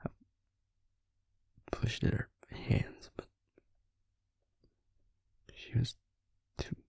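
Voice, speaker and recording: A man playing a bitter, wounded vampire recalling his trauma in an intimate audio roleplay, speaking in a halting, breathy whisper. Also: no room sound. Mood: distressed, hushed. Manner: halting, hushed, with heavy pauses. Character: wounded, bitter male vampire, once a healer, recounting trauma.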